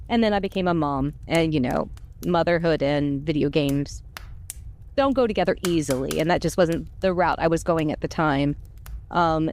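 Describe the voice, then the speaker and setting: A woman's voice: on the low side, darkish tone, slight background noise. A woman in her forties with an American accent tells personal stories in a casual, chatty way, as a voice actor on a podcast. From United States. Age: 40s. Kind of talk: casual conversational storytelling.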